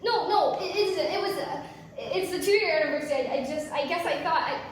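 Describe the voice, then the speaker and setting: Actress as a high-voiced, hesitant, troubled mother, echoey. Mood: anguished, guilty. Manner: halting, stammering delivery. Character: troubled recovering-alcoholic mother, hesitant, guilt-ridden, confused. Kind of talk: staged reading of a stage play. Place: large echoey room, live staged reading. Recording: echoey hall from far off, noisy.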